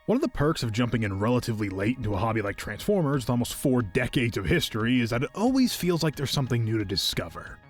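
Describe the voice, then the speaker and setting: A man narrating an enthusiastic scripted review as a video host, with a playful, whimsical and expressive delivery. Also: mid-range voice, quick pace. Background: background music.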